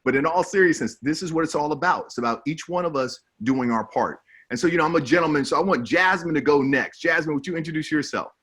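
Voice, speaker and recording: A man's voice: fairly high pitch, quick pace, few pauses, fairly bright tone, husky. A man moderating an online panel in an upbeat, effusive and encouraging way, speaking over a video call.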